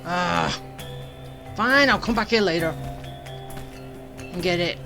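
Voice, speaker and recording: A woman's voice: fairly low pitch, animated, slow. A woman doing casual, playful gameplay commentary, thinking aloud and reacting to dialogue. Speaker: gameplay streamer. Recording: game music behind the voice.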